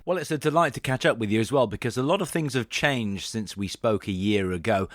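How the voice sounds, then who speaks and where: A man presents an interview podcast in a polished and courteous way. His voice is medium in pitch and recorded very dry, with little sense of any space around him. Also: brisk, no room sound. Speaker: podcast host.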